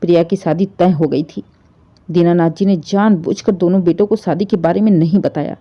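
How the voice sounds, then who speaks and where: A woman's voice: lowish voice, fairly even intonation, brisk, lo-fi sound. A woman narrating an emotional family story in a storyteller's style.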